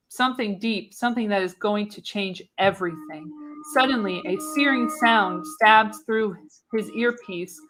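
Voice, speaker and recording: A woman's voice: medium pitch, fairly bright tone, rough recording. A woman reading screenplay narration for a live radio play, theatrical and emphatic on the action. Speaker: podcast host reading the narration.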